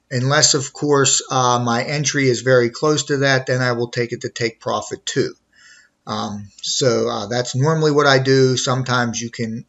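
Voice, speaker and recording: A man's voice: medium pitch, a bit bright, highs cut off. A man teaching in an informal screen tutorial, explaining things methodically step by step. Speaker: trading instructor.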